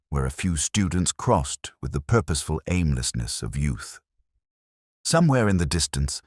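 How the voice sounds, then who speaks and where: Very deep-voiced, brooding detective played by a man. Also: dry recording, clean studio sound. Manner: measured, literary, brooding, deliberate. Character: melancholy, observant older male detective narrating his case. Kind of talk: scripted first-person mystery narration.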